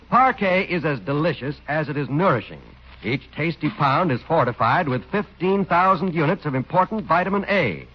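A man's voice: on the high side, slightly rough. An American man announcing in a scripted 1940s radio style. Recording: dull vintage radio recording with music. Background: music.

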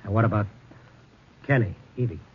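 An actor playing a firm, earnest, world-weary newspaper reporter in a 1950s radio drama, in a measured manner. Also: male voice, on the low side, slightly rough. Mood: urgent, firm. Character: steady, world-weary male big-city newspaper reporter. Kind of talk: 1950s radio drama acting and first-person narration. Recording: muffled old radio recording, faint music.

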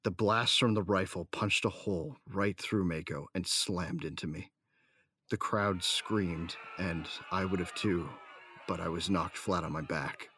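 A man's voice: low-pitched, unhurried, sharp tone, husky, no room sound, heavily acted. An actor playing a devoted husband and adventurer who narrates his own story in an audio drama. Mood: somber narration.

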